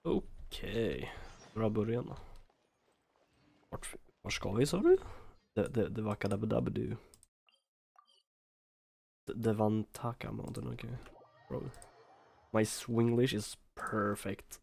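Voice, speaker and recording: A man's voice: on the low side, slow, vocal fry, long pauses, darkish tone, husky. A man with a Swedish accent, a native Swedish speaker, giving casual, playful livestream commentary on a game in a muttering way. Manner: playful, muttering, switches between Swedish and English.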